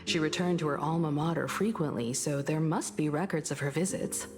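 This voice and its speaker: A woman voicing a poised young female investigator in a game, speaking in a calm, measured, polite way. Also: low voice, soft background music. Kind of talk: game voice acting.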